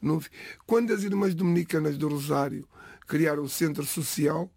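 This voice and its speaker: Gravelly, husky man speaking haltingly. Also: a little high, a little mellow, dry recording. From Portugal, Lisbon area. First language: Cape Verdean Creole. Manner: halting, restarts phrases, pauses between words. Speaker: interviewee. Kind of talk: reflective personal testimony.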